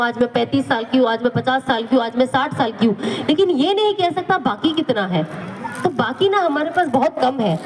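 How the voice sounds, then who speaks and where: A woman's voice: extremely high pitch, big-room sound. A woman giving an earnest Islamic talk. She repeats phrases for emphasis. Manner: earnest, repeats phrases, acts out quoted people. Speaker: religious speaker.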